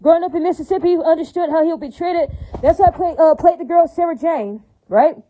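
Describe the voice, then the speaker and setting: High-pitched woman rambling to her viewers, talks super fast, keeps going "all right, now", always asking if you get it. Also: warm tone, rough recording. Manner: repetitive, filler-heavy, keeps asking listeners if they agree. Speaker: vlogger addressing viewers. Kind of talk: rambling unscripted monologue.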